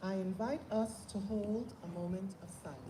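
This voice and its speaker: A woman's voice: medium pitch, moderate pace. Solemn woman reading prayer, echoey and distant. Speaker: prayer leader. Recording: distant, echoey, noisy event recording.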